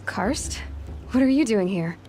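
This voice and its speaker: A woman's voice: medium pitch, lively intonation, unhurried, noisy background, natural voice. A woman playing a determined, guarded young outcast hunter in a video game, wry and direct. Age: young adult.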